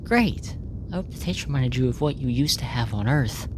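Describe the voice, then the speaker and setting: Very low-voiced woman as polite alien researcher. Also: animated, moderate pace, noisy background. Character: polite, clinical alien researcher fascinated by humans. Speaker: audio roleplay voice actor. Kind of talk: ASMR sci-fi roleplay, in character.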